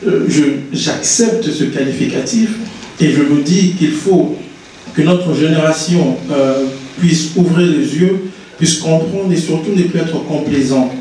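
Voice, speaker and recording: A man's voice: a little high, measured pace, dark tone, a little hiss or hum. A Congolese-born man giving an earnest public talk as an invited author, often restarting his words. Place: conference hall. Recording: echoey hall, distant mic.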